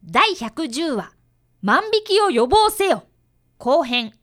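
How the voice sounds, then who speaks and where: A polite young boy detective, acted by a woman, high and very animated, recorded close and dry. He explains things earnestly in a youthful radio-drama manner. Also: slow, dry recording, exaggerated voice. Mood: cheerful, announcing. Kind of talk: radio drama acting with first-person narration.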